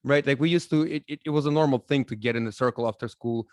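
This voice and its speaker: A man from Russia co-hosting a podcast, chatting in an animated way with stumbling restarts. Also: mid-range voice, fast, dry recording, clean studio sound. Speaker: podcast co-host. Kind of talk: casual chat.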